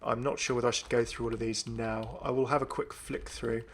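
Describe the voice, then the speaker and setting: A man hosting a gaming video with casual, enthusiastic commentary in a creaky voice. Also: medium pitch, little pitch movement, fairly quick. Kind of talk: casual commentary on a game update.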